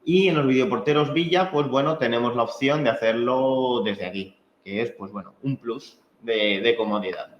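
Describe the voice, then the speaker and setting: A man with a Spain Spanish accent giving an informal technical training, explaining in a halting, conversational way with frequent check-in tags. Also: medium pitch, slight background noise. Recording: echoey room, dull muffled sound.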